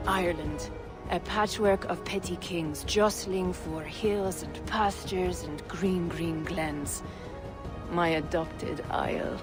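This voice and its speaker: A woman's voice: on the low side, fairly even intonation, slow pace. An actress playing a shrewd, courteous trader woman from the east in a video game, with formal, wry, playful phrasing. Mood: measured, storytelling. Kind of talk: video game cutscene acting. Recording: music under the voice.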